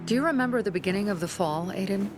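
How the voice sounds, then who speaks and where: An actress playing a resolute, hardened young survivor leader in a video game, earnest and measured. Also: female voice, mid-range voice, expressive, over music, natural voice. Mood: casual, probing. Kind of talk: naturalistic video game acting.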